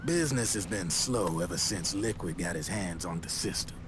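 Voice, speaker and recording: Low-voiced, slick streetwise arms dealer. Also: male voice, lively intonation, moderate pace. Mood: breezy, salesmanlike. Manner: slangy, smooth-talking, casual swagger. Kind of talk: dramatic video game voice acting. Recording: clear background noise and ambience.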